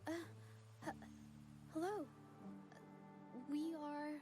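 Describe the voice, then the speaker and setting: A woman's voice: high voice, fairly even intonation, drawn-out words, dark tone. A woman voicing an earnest, observant young investigator in a video game, explaining things carefully. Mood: hesitant, polite. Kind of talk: scripted video game voice acting. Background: game music.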